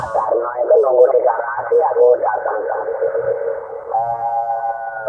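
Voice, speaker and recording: Man on muffled CB radio, unhurried and flat in casual hobby chat, music behind. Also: fairly low pitch. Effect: CB radio transmission. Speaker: CB radio collector.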